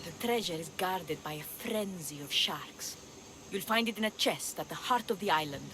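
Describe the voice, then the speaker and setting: A woman acts out a theatrical, scheming sea rogue for a video game. The voice is medium in pitch, boastful and sly, set against clear background noise. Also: animated, normal speed, lightly acted. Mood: sly, eager. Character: scheming, boastful seafaring treasure hunter who double-crosses mercenaries. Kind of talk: video game cutscene acting.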